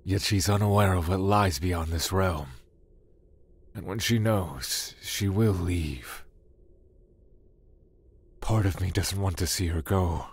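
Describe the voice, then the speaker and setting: An actor playing a solemn, brooding god of the void in an audio drama, speaking in measured, terse lines. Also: male voice, low voice, husky, heavily acted. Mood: wistful.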